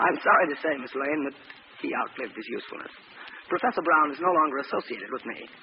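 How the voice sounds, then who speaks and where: A man playing a cold-blooded, gloating villain scientist with mock-courteous, deliberate speech in 1940s radio drama style. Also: on the high side, lively intonation. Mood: gloating, amused. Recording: muffled, noisy old radio recording.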